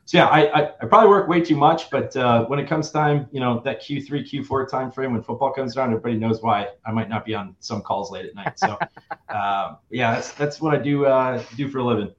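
A man's voice: mid-range voice, a little fast. An upbeat, chatty man in his forties from Wisconsin, talking casually as a guest. His voice sits in an ordinary middle register. The sound is roomy and a little dull, like a remote call from a bare room. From Wisconsin, USA. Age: early 40s. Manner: upbeat, chatty, frequent fillers. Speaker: guest, fantasy football player. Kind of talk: casual interview chat.

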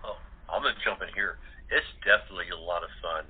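Husky low-voiced man on a phone line. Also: quick pace. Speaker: radio-play cast member, interview guest. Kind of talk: casual interview chat. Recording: thin phone-line audio.

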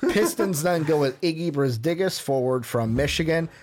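Man, podcast co-host, reading a list, casual, slightly higher pitch, ums here and there. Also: expressive, normal speed, darkish tone. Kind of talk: casual podcast chat, reading out draft picks.

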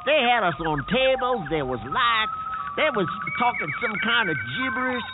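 A man's voice: extremely high pitch, moderate pace, over loud music. A man voicing a frantic, stammering male kidney bean who runs a garage, in a comedic audio drama. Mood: insistent. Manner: stammering, excitable. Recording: phone-like lo-fi with noise.

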